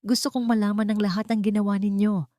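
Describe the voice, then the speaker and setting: Female reader as bitter older woman out for justice, storybook drama, dark mellow tone. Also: mid-range voice, flat delivery, fast, no room sound, studio quality, pitched above the performer's own voice, lightly acted. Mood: vengeful.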